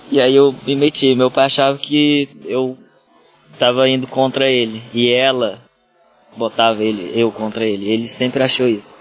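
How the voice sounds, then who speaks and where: Man, northeastern brazilian accent, from ceará, mid-pitched voice, rambling storyteller, interview guest. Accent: northeastern Brazilian Portuguese. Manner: rambling, with many restarts and fillers. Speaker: guest trail runner. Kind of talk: casual interview talk.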